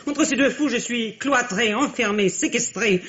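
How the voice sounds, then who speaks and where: A woman's voice: a little high, moderate pace, a bit bright, breathy edge, dull audio. A middle-aged woman playing a bitter, mocking wife in a theatrical French radio drama style. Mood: bitter, hysterical. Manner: sarcastic, theatrical.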